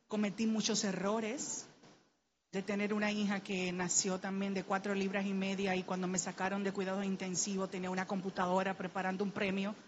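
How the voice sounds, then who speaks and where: A woman's voice: mid-range voice, muffled recording, a little hiss or hum. A middle-aged Dominican woman with a Dominican Spanish accent, speaking on a panel, sharing a personal story in an earnest, heartfelt way and urging the listeners to act. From Dominican Republic. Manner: earnest, heartfelt, grows urgent and exhorting. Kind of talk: personal testimony in a panel talk.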